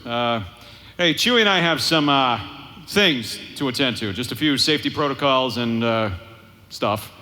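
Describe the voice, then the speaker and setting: An actor in a live audio drama playing a cocky, sarcastic smuggler pilot who swaggers and wisecracks. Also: male voice, medium pitch, moderate pace, sharp tone, close to an everyday voice. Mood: breezy, evasive.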